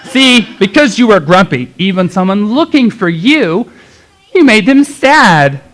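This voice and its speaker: Animated, slow-talking puppet show character, acted out live. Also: medium pitch. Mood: earnest preaching. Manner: exaggerated, exclamatory, addressing children. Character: puppet characters in a church Christmas show, exclaiming and scolding. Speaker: puppeteer performer. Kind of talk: children's puppet show performance.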